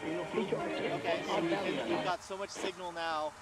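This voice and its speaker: A man giving a casual live demonstration outdoors, explaining in a halting way as he thinks aloud. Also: a little high, fairly even intonation, over loud music, a loud crowd. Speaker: presenter and drone operator of a radio experiment. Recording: outdoor recording with clear background noise.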